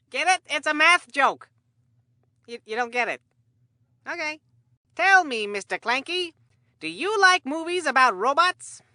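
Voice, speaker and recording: Corny, food-mad kid sports commentator for a video game; unhurried, hammy announcer delivery, very dry sound. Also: high voice, bright, forceful tone, no echo, full character voice. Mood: chatty, joking.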